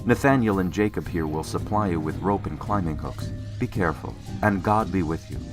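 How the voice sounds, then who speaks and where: An actor playing a solemn, commanding ancient war leader of great faith in an audio drama, speaking in formal, stately phrases. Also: male voice, sits low, a little fast, music in the background, lightly acted.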